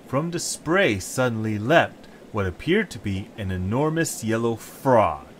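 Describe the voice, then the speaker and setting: Slow, expressive male story narrator. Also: lowish voice, a little hiss or hum.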